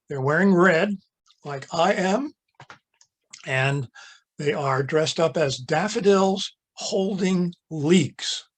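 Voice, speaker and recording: Husky chatty man giving a lecture. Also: fairly high pitch. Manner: chatty and amused, with fillers and asides. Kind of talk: slide-show lecture.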